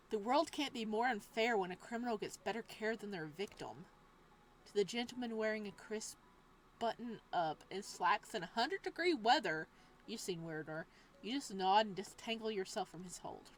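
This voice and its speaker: A woman's voice: mid-range voice, a little hiss or hum. Young woman reading a game aloud. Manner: reads narration softly, breaks into loud animated asides; casual and profane, joking. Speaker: game streamer. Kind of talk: reading a visual novel aloud with commentary.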